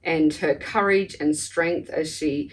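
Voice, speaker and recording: A woman's voice: sits low, slow, recorded from a distance, a little hiss or hum. A woman talking in a casual conversational way as a podcast interviewer.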